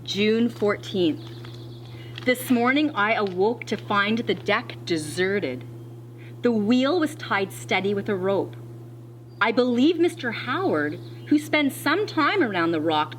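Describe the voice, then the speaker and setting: A woman schoolteacher reading a children's storybook aloud, expressively and deliberately, with dramatic pauses. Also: mid-range voice, a little hiss or hum, poor audio. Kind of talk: read-aloud of a children's storybook with teacher asides.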